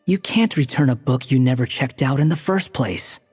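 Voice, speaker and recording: A very low, husky-voiced woman plays a wry, knowing character in a fantasy game. Her lines carry a long reverb tail, with faint music underneath. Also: lively intonation, moderate pace, a little mellow, exaggerated voice. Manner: dry, teasing, matter-of-fact. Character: sly, self-assured woman, wry and knowing.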